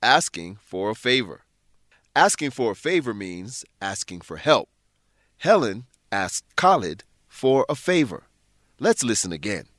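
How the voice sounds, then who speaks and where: A man reading scripted English lesson narration, explaining things carefully and clearly as the presenter. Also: lowish voice, big pitch swings, measured pace, a bit bright, no echo, studio quality.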